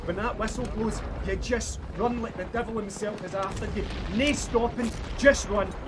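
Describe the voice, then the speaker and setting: A man's voice: sits high, moderate pace, heavy background noise, lightly acted. An actor playing a blunt Scottish squad leader, urging on his soldiers in a war audio drama. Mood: grimly urging. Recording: gunfire effects behind.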